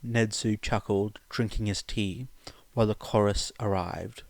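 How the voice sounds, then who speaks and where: A man reading scripted fiction aloud as a narrator, in a measured, dramatic storytelling manner. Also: on the low side, steady tone, gravelly, no echo. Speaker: podfic narrator.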